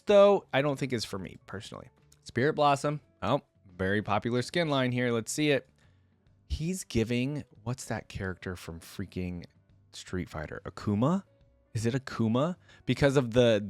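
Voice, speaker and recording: A man's voice: lowish voice, no room sound. A man giving casual reaction commentary as a video host, slangy and thinking aloud as he weighs his opinions.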